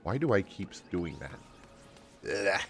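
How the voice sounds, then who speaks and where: A male game streamer with a low voice, reading on-screen text and making self-deprecating asides. Game music plays behind him. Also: very animated, slow. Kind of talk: live game stream, reading dialogue and quests aloud.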